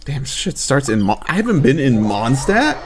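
A man's voice: medium pitch, big pitch swings, normal speed, slight background noise. A young man giving casual let's play commentary, with offhand muttered asides and swearing.

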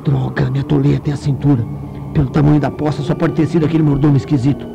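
A man as an eager, high-voiced, gravelly reporter. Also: flat delivery, quick pace, background noise. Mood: excited, sneaking. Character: eager, ambitious, excitable male newspaper reporter. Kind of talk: comic radio play monologue.

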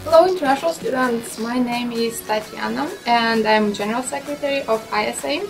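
Student secretary, woman, friendly, a bit high-pitched, faint music under. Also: a little fast, vocal fry, low-quality recording. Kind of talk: casual welcome message.

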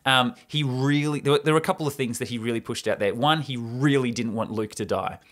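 A man's voice: mid-range voice, brisk. A man hosting a casual film podcast, telling things with enthusiasm and acting out remarks, close on a microphone. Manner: enthusiastic storyteller, acts out imagined remarks. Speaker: podcast host.

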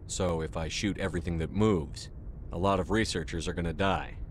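A man playing a steady, dutiful military commander in a video game, speaking in a measured, sincere way. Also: sits low, a little hiss or hum, lightly acted. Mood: dutiful, resolute. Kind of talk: video game dialogue acting.

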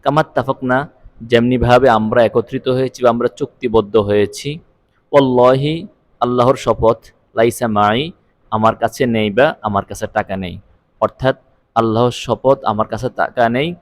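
A male Arabic teacher with a mid-pitched voice. He explains word by word, repeating each phrase before translating it, in a dry recording with little room sound. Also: no room sound.

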